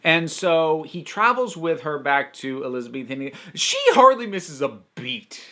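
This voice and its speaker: A man's voice: a little high, sing-song, a little slow, pushed and bright. A man hosting a casual review monologue, talking in an enthusiastic, emphatic, conversational way. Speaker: host and reviewer.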